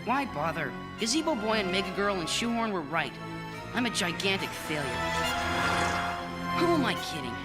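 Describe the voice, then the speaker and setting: A woman voicing a self-doubting young boy superhero in an animated cartoon. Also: medium pitch, normal speed, pauses often, close to an everyday voice. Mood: dejected. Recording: music under the voice.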